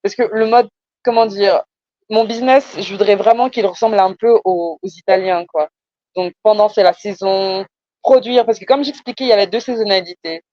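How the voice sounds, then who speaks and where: A woman's voice: mid-range voice, normal speed, dark tone, highs cut off. A woman from France with a Parisian accent, explaining her business conversationally, often hesitating and repeating words for emphasis. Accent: Parisian French. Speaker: entrepreneur being coached.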